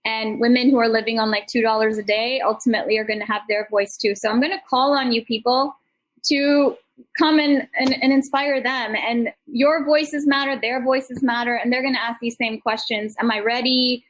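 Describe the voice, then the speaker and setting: A middle-aged woman with a slightly high voice talks as a panel host. Her delivery is informal and conversational, full of encouragement. She rambles effusively, moving between personal anecdotes and motivational appeals. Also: steady tone, moderate pace, rough recording. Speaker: host and founder speaking at an online summit panel.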